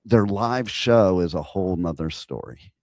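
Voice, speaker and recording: A man hosting a radio interview, talking eagerly in quick run-on bursts and chatting casually with his guest. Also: low-pitched, darkish tone, no room sound, clean studio sound. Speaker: radio show host.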